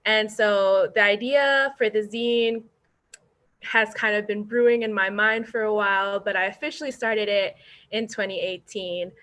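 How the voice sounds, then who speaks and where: A young woman, founder and editor of a zine, presenting her work on a video call in a soft-spoken way with many ums and uhs. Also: mid-range voice, fairly even intonation, a little slow. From born in Indonesia, raised in upstate New York.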